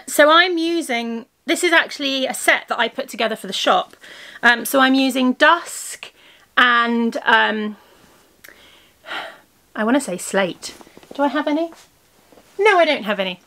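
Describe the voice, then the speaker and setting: A woman's voice: a little high, sharp tone. A woman who is losing her voice hosts a crafting podcast and chats casually while showing her knitting projects to the camera. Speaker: crafting podcast host and yarn shop owner. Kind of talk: casual video podcast chat.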